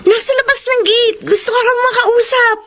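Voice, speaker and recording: Very high, chirpy Filipina teenager. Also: female voice, fairly even intonation, normal speed, a bit bright. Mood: excited, urgent. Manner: exclaiming, chirpy, urgent. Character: excitable teenage girl, chatty meddling friend. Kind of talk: Filipino radio drama acting.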